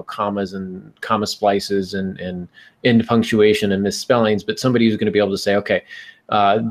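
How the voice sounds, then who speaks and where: A man hosting a podcast, talking casually and giving advice to listeners. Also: fairly low pitch. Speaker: podcast host.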